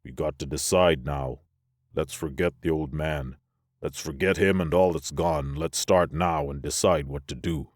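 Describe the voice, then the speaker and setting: A man voicing a gruff, fatalistic, unsentimental spaceman in a science fiction audiobook, blunt and resigned. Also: rumbling low, measured pace, dry recording, studio quality. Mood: grim.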